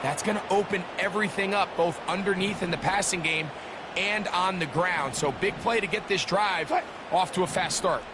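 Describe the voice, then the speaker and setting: A man's voice: high-pitched, normal speed, background noise, natural voice. A man with an American accent voicing a folksy football color analyst who explains the game with emphasis. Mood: explanatory. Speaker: color commentator. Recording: loud music behind the voice.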